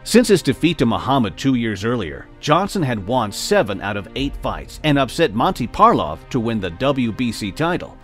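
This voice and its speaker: A man narrating a boxing documentary in a measured storytelling manner. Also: medium pitch, very animated, fairly bright tone, faint music behind.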